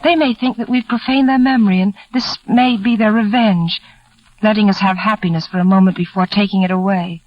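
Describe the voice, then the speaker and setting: An actress playing a shy, fearful, devoted young bride, wistful and emotional, in a 1940s radio drama. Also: female voice, medium pitch, steady tone, brisk, lightly acted. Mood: afraid. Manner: wistful, hushed, emotional delivery. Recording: dull old 1940s radio recording with music.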